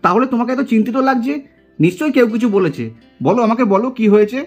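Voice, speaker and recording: A woman's voice: a little high, fast, breathy edge, faint music behind. A woman voicing a devoted young man doll in a puppet drama, earnest and coaxing. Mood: reassuring, coaxing.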